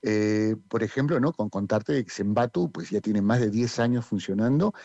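Peruvian man, theatre director, low dark voice, halting and full of fillers, dull dry interview sound. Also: expressive, dry recording. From Peru. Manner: rambling, many hesitations. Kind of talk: interview answers.

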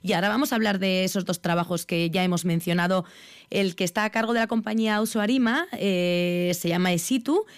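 A woman with a voice of middle pitch hosts an interview. She asks questions and drops short affirmations while her guest talks. The recording is dry and close. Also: brisk, clear, resonant tone, no room sound. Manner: brief backchannel affirmations. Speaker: radio interviewer.

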